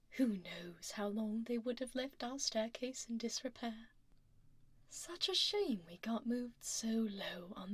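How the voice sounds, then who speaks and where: A woman voicing a powerful dragon lady executive in a soft, whispery ASMR roleplay, poised and condescending, politely menacing, close on a binaural mic. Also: a little high, breathy edge, no room sound. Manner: polished, slow, politely menacing. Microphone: close binaural ear microphone.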